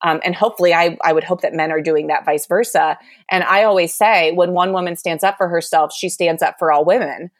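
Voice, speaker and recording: A woman's voice: on the low side, fairly even intonation, fairly quick, no echo. A young adult woman telling a candid, exasperated dating story with lots of "like" in a casual chat.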